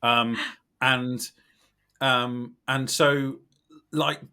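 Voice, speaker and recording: A British man with a slightly scratchy, croaky voice, chatting casually as a podcast guest in a wry, self-deprecating, animated way. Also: medium pitch, extremely slow. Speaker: podcast guest, recruitment company director. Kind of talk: casual chat.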